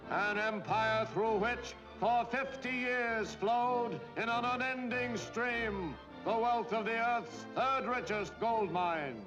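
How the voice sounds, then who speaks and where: Pompous, high-pitched 1940s newsreel man. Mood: brisk, grand. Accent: American. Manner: booming, pompous, portentous declamation. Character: pompous newsreel announcer, grandiose and solemn. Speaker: newsreel narrator. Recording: dull 1940s film soundtrack, loud music. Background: loud orchestral music.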